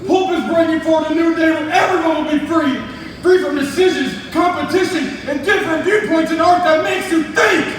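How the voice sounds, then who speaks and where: A man's voice: very high-pitched, brisk. A man playing a crazed, grandiose zealot henchman in a theatrical stage reading, recorded from far off in a noisy, echoey hall. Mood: ecstatic. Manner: fervent, grandiose. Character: crazed zealot henchman worshipping his leader, ranting about conformity. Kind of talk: theatrical competition readers' theatre. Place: large hall, distant microphone.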